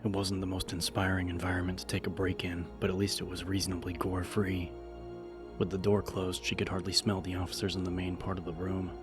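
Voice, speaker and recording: A man narrates a dramatic audiobook in a low voice. His intonation stays very flat throughout the scripted reading. Music is clearly audible behind him. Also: brisk.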